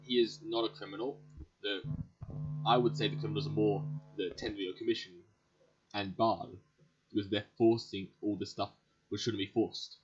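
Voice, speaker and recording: A man's voice: fairly low pitch, little pitch movement, normal speed, long pauses, highs cut off. A man giving casual gameplay commentary as a gaming video host. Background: faint game music.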